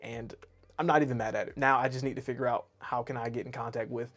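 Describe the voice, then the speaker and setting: A man's voice: medium pitch, steady tone, fast talker, a bit bright. Rambling chatty man vlogger. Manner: rambling, self-deprecating, chatty. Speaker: YouTube artist and animator. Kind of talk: casual year-review monologue to camera.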